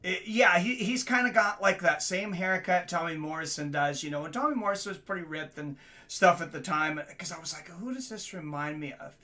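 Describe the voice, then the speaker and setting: Male interviewer, bright voice, slightly high, casual, hesitant, agreeable. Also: fairly quick, hardly any pauses. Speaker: interview host.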